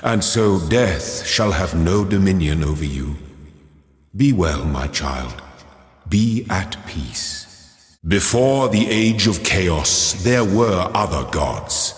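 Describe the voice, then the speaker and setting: A man's voice: sits low, expressive, slow pace. A man voicing a solemn godlike creator in a video game, speaking in grand, paternal, scriptural oratory. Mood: grave, resolute. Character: solemn godlike male creator of a garden world. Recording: cavernous echo with long reverb.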